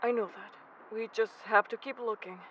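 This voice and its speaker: Male actor as gentle, anxious young travel companion, hesitant delivery, mystery audio drama, dull noisy recording. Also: high-pitched, steady tone, moderate pace. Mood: anxious, vulnerable. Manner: hesitant, stammering.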